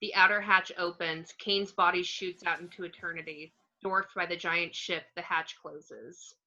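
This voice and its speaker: A woman's voice: lowish voice, fairly even intonation, normal speed, pushed and bright. A woman narrating screenplay action descriptions in an amateur table read, on muffled lo-fi audio.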